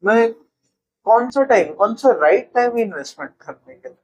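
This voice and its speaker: A man's voice: very high-pitched, animated, normal speed, poor audio. A man hosting an interview, asking leading questions and giving short agreeing replies. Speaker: interview host.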